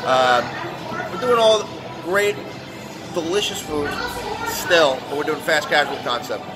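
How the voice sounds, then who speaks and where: A man, a restaurant chef talking fast and eagerly in a casual interview in a large busy restaurant. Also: fairly high pitch, lively intonation. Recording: loud background music.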